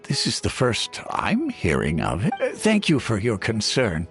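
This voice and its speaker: A kindly old village grandfather from a video game, speaks politely and hesitantly. His pitch rises and dips dramatically, with a forgetful, apologetic manner and faint music behind. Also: huge pitch swings, measured pace, heavily acted. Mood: puzzled, polite. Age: older.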